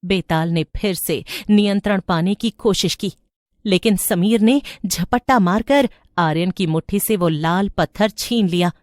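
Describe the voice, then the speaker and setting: A woman's voice: mid-range voice, measured pace, a little mellow, no echo, studio quality. A woman reading scripted narration for a horror story.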